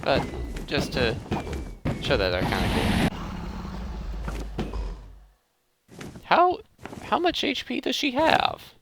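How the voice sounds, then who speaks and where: A man's voice: high voice, huge pitch swings, slow pace, gravelly, pauses often, background noise. A man hosting a gameplay video, thinking aloud in a casual commentary addressed to his viewers. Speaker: host of a gaming let's play series.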